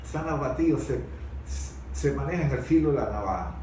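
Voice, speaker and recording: A man in a conversational debate, speaking haltingly and repeating words as he searches for phrasing. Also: medium pitch, lively intonation, darkish tone. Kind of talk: conversational political-historical debate. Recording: very echoey room, distant mic, faint noise.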